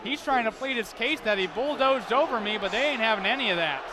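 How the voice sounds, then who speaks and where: A young man with a high-pitched voice calls a game live as the play-by-play announcer. He follows each play as it unfolds, in the manner of an amateur sports broadcast. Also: animated, a little fast, crowd noise.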